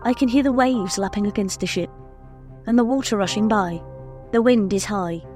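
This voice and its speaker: A woman's voice: mid-range voice, moderate pace, soft background music, natural voice. A woman playing an eerie, passive young woman speaking dreamily from a hypnotic trance in a radio drama. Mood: entranced, dreamy. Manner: dreamy, hushed incantation in short phrases. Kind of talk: old-time radio drama acting.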